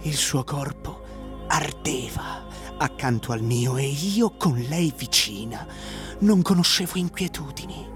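A man's voice: on the high side, slow pace. An actor playing a wistful male narrator recalling a lost lover in a lyrical, dramatic radio monologue. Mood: nostalgic. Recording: music behind the voice, radio drama. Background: clear music.

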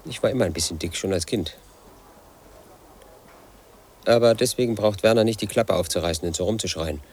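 Man voicing a resentful loner in a radio play, very deep voice, bitter brooding monologue, faint hiss behind. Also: a little fast, darkish tone, natural voice. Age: late 30s. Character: brooding resentful house painter, 38, lonely and suspicious. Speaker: protagonist narrating his life.

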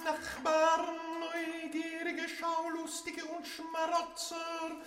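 An actress playing a pompous mistress of ceremonies in a radio play, proclaiming grandly in a half-sung, declamatory way. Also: female voice, very high voice, completely flat delivery, extremely slow, fairly bright tone, echoey room, faint music behind, heavily acted. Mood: grandiose, solemn. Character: pompous female master of ceremonies heralding a lavish feast. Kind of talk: theatrical, declamatory German radio play acting.

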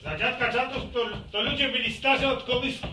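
A man playing a gruff, weary middle-aged farmer in a radio drama, grumbling and sardonic. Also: extremely high pitch, steady tone, a little fast, sharp tone, soft background music, exaggerated voice. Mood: irritated grumbling. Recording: noisy, echoey, distant radio recording.

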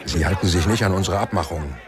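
A man's voice: low-pitched, fast, close to an everyday voice. An actor playing a cold, menacing criminal henchman who speaks bluntly in a German radio crime drama. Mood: threatening. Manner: blunt, threatening. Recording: noisy, with chatter behind.

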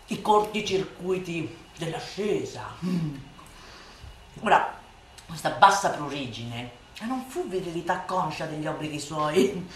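A woman playing a sardonic, scornful satirical orator in a theatrical radio monologue, declamatory and emphatic, moving between hushed asides and loud outbursts. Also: medium pitch. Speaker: actress performing a literary monologue. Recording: reverberant room, distant voice, faint noise.

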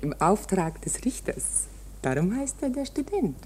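An actress playing a flirtatious, chatty wife of a court usher in a radio drama, colloquial and coaxing. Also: female voice, medium pitch, sing-song, unhurried, darkish tone, slight background noise. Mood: flirtatious, confiding.

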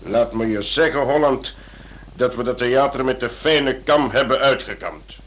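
A man playing a senior police chief in a radio play, speaking in a measured, authoritative way. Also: mid-range voice, fairly bright tone, muffled recording, natural voice. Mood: rueful, insistent.

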